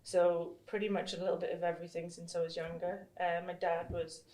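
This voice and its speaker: A woman's voice: lowish voice, flat delivery, a little fast, mellow. A woman from northeast England, chatting casually as a podcast guest. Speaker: podcast guest, CrossFit coach. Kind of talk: casual chat. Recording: echoey room.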